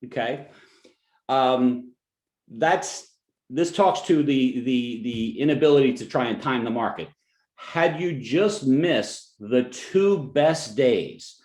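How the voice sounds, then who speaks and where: A man with a New Jersey accent presents to clients over a webinar, talking in an easygoing way with joking asides and frequent restarts. His voice sits at an ordinary middle pitch. The recording has a roomy echo. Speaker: financial advisor.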